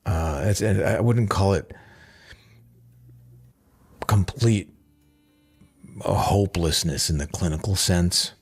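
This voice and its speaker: A man with a very low voice talks at a slow pace. He muses in a conversational monologue, hesitant and understated, as if thinking each point through. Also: vocal fry, breathy edge, faint music behind. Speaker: video essayist.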